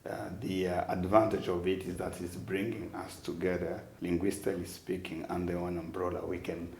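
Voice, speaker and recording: A man from Congo whose first language is Chiluba speaks in a very deep, flat voice. He explains slowly, stopping to search for words. The room is echoey, with a faint hiss behind him. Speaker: interviewee. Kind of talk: measured explanation.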